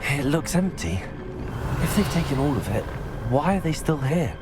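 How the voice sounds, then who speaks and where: A young man acting as a determined wizard student in a video game, polite, measured and earnest. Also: on the high side, sing-song, heavy background noise. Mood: earnest, concerned.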